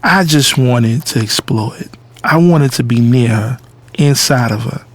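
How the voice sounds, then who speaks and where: A man's voice: mid-range voice, a little slow, no room sound, natural voice. A man playing a cocky, lovestruck son. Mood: candid, intimate. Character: cocky, lovestruck adult son having a secret affair. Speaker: narrator and lead character. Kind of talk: first-person audio drama narration and dialogue.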